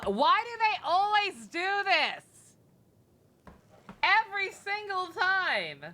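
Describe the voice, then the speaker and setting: A woman's voice: sits high, pushed and bright. A woman doing casual live game commentary as a streamer, chatty, sarcastic and sweary. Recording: game music behind voice. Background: video game music.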